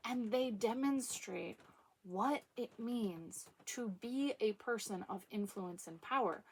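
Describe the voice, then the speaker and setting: A woman giving casual, opinionated commentary on literature in an emphatic way, with dramatic pauses. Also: a little high, breathy edge.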